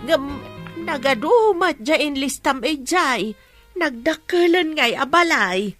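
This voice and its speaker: An affectionate, chatty old mother in an Ilocano radio drama. Her voice is on the high side with an Ilocano accent and a fretful, doting manner, over faint music. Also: animated, slow, fairly bright tone, full character voice. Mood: doting, hesitant. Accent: Filipino, Ilocano.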